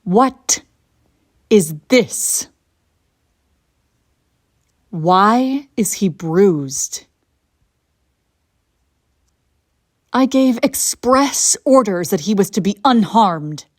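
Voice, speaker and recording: Woman acting a haughty, husky evil empress. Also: mid-range voice, animated, dry recording, clean studio sound. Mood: furious, commanding. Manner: theatrical, haughty, deliberate phrasing. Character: imperious evil empress, coolly seductive and menacing. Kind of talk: scripted audio roleplay acting.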